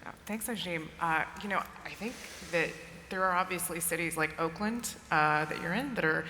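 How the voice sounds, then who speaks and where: A woman's voice: on the low side, moderate pace, pushed and bright, a little hiss or hum. A woman speaking as a panelist in a conversational way with many fillers. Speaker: panelist, digital director. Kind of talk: conference panel talk. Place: large conference stage. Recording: roomy long reverb, faint ambience.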